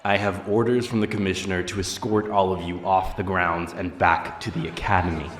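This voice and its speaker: A man's voice: sits low, flat delivery, brisk, close to an everyday voice. A man playing a firm, dutiful escort officer in an audio drama, giving formal, authoritative instructions. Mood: firm, official. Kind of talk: dramatic audio roleplay acting. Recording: echoey outdoor scene with crowd noise. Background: crowd.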